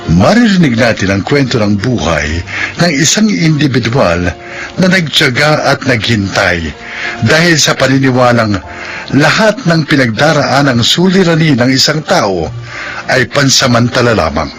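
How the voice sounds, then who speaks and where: Casting: male radio drama announcer, Filipino, formal scripted delivery, medium pitch, music bed. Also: big pitch swings, a bit bright. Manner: formal, measured. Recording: radio broadcast with music underneath.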